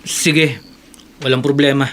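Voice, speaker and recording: A man's voice: medium pitch, level tone, measured pace, fairly bright tone, close to an everyday voice. A man with a Filipino accent playing an eager, dutiful young office janitor in a radio drama. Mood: meek, defensive.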